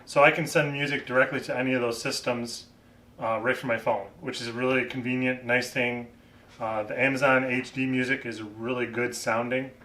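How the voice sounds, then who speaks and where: Guy doing a laid-back unscripted review, kinda halting, echoey room, mic a bit far off. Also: medium pitch, level tone, sharp tone. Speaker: vlogger reviewing vintage hi-fi gear. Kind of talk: casual unscripted product talk. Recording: roomy echo, distant microphone.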